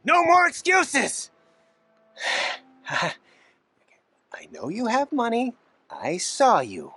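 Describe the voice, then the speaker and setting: High-pitched, wheedling greedy swindler. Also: male voice, wildly swooping pitch, drawn-out words, sharp tone, breathy edge, no room sound, heavily acted. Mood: accusing. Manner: theatrical, mock-polite, wheedling. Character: cocky male swindler, wheedling, greedy, easily rattled bully. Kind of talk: animated game dialogue.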